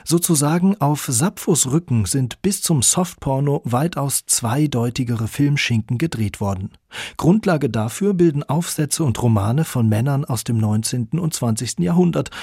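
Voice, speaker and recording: Male radio narrator, standard German accent, husky, very dry studio sound, scripted delivery. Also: medium pitch, animated, normal speed, mellow, no room sound. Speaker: narrator and author of a radio feature.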